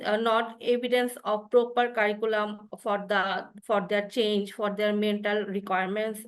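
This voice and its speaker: A woman's voice: medium pitch, fairly bright tone. A woman physician, a Bengali speaker, laying out her points one by one on a panel. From Bangladesh. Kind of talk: panel discussion.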